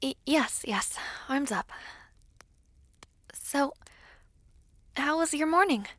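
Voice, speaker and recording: A woman playing a gentle, modest young princess in an audio roleplay, soft and breathy, speaking politely and hesitantly. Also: sits high, lively intonation, slow, no echo, heavily acted. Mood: gently anxious. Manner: soft-spoken, hesitant, polite, formal diction.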